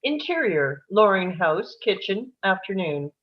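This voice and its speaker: A woman's voice: medium pitch, big pitch swings, unhurried, highs cut off. A woman narrator reading scripted stage directions for a screenplay.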